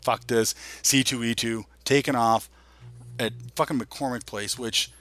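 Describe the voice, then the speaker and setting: A man's voice: medium pitch, fairly even intonation, unhurried, fairly bright tone, dry recording. A man in his forties hosting a podcast, chatting casually about geek culture in a blunt, profane way. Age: 40s. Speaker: podcast host.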